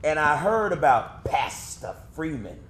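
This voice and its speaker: A man's voice: a little high, very animated, a little slow, fairly bright tone. An actor playing an outspoken young man in a stage play, teasing and arguing with his aunt. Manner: teasing, laughing, argumentative. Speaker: older cousin figure.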